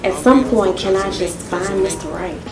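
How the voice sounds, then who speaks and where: A woman's voice: medium pitch, normal speed, close to an everyday voice. Actress as carefree young woman unlucky in love, echoey, distant, music underneath. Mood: exasperated. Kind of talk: stage play promo monologue.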